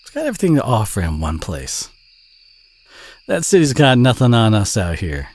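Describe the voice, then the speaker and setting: A man playing a friendly, modest country boy with a Southern US accent, chatty and gently teasing in an intimate audio roleplay. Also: fairly low pitch, huge pitch swings, moderate pace. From southern United States.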